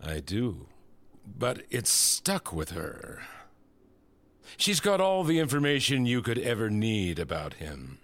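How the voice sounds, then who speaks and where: Slow, low, smug disgraced politician played by a man. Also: very animated, dry recording. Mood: bitter, insinuating. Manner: deliberate, condescending, insinuating. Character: smug, manipulative disgraced male senator in prison. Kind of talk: scripted audio drama.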